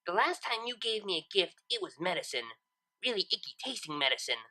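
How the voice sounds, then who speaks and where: A woman's voice: lowish voice, very animated, brisk, pushed and bright. A woman voicing a melodramatic, sulky female pony sick with a cold, in a nasal, complaining voice for a story reading. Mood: suspicious. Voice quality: nasal, stuffed-up. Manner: complaining, overdramatic.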